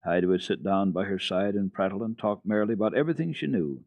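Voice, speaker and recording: A man's voice: deep voice, quick pace, a little mellow, no room sound. A man narrating a children's classic as a radio storyteller, reading dramatically. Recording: dull archival radio tape, dry.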